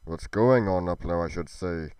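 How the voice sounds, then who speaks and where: A man's voice: deep voice, normal speed, a little mellow, clear, resonant tone, no room sound, voice pushed down, lightly acted. A man voicing an earnest, chivalrous fantasy warrior with formal, archaic phrasing, in an amateur roleplay drama. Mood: pensive. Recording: music under the voice.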